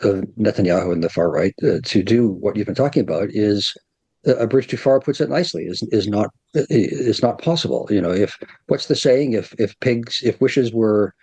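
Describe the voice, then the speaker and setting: A man speaking as a guest analyst, measured and plain-spoken with a wry edge. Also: on the low side, mellow, husky, no room sound. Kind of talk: conversational analysis.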